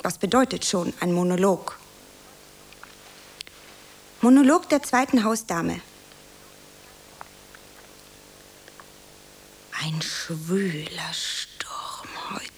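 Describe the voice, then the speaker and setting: A woman's voice: on the low side, slow pace, long pauses, slight background noise, rough recording. A woman playing a dreamy, self-dramatizing young aspiring actress in a radio play, theatrical and whimsical in her delivery. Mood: melodramatic. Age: young adult.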